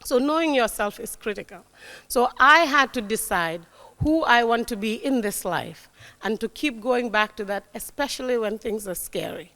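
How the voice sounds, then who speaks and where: A woman in her forties speaks to a young crowd, stressing key words and tossing in "right?" to keep them with her. Her voice sits a little high, and a long reverb trails each phrase as if she is addressing a large hall. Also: animated, moderate pace. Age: 40s. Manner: warm, emphatic, repeats key words, frequent "right?". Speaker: guest speaker. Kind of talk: motivational talk to young audience. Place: lecture hall.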